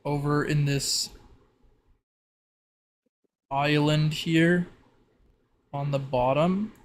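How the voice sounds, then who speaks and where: A man improvising narration as a tabletop game master in a halting way. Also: on the high side, fairly even intonation. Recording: clearly noisy background.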